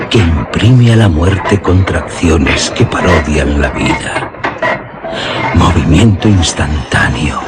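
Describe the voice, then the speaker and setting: A man narrating a scripted gothic horror tale in a solemn, suspenseful, literary delivery. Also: deep voice. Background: music under the voice.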